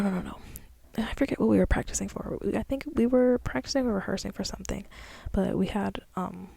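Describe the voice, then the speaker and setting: A woman's voice: mid-range voice, no room sound. A young woman whispering as she recounts a dream in a rambling, ASMR-style way, full of fillers. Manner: soft breathy whisper, rambling with many fillers.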